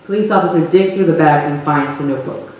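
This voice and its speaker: A man's voice: a little high, fast, close to an everyday voice. A man reading stage directions as scripted narration. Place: arts center stage, live performance. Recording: echoey hall, far from mic.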